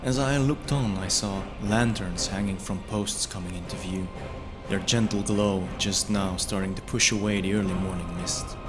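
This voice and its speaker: A man reading scripted first-person fantasy narration in a measured, solemn storytelling manner. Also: deep voice, steady tone. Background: music.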